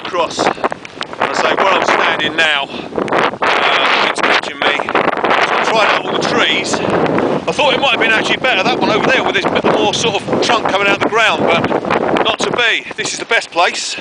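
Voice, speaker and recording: A man's voice: high voice, normal speed. A man vlogging outdoors while trekking a wet, windy trail, chatting casually and rambling with many fillers. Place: outdoors on a windy rainy trail, later in woods. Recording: loud wind buffeting the mic. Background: loud wind noise.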